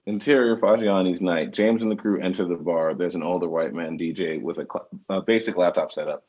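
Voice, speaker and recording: A man's voice: deep voice, steady tone, brisk, darkish tone, muffled recording. A man reading out screenplay directions and speaking casually as the writer at a table read. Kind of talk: screenplay narration.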